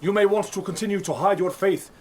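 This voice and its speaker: A man's voice: high-pitched, quick pace, a little mellow, a little hiss or hum, close to an everyday voice. An actor playing a persuasive Moorish embassy man in a period radio drama, earnest, formal and pleading. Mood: pressing. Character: urgent, persuasive Moorish envoy's man, loyal friend.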